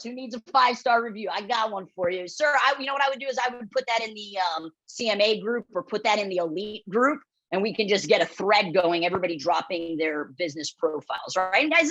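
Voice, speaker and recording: A woman with a loud voice presenting a webinar, blunt and direct, often checking in with the audience as she coaches. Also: mid-range voice, a little fast. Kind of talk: instructional webinar talk. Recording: dull lo-fi webinar audio.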